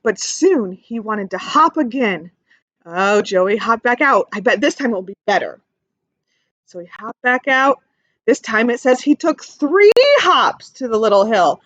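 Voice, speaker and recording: A woman teaching through an interactive picture-book read-aloud for young children, animated, asking questions and explaining things simply. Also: on the high side, measured pace, dry recording, dull audio.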